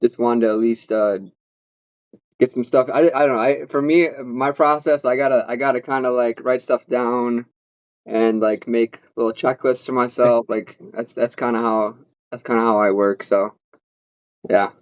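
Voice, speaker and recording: A man's voice: medium pitch, fairly even intonation, moderate pace, dry recording. A man from Western New York hosting a podcast, hesitant and fumbling for his words. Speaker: podcast host. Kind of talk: casual podcast chat.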